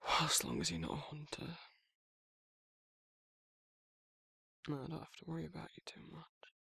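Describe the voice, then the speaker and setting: A man playing a shy, protective werewolf in a romantic ASMR roleplay, speaking haltingly and reassuringly in a gravelly, husky voice. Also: on the high side, sing-song, dry recording, clean studio sound, full character voice. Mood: reassuring, teasing. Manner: halting, awkward, softly reassuring. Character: shy, protective, tender young male werewolf in love. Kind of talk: romantic ASMR audio roleplay, intimate and conversational.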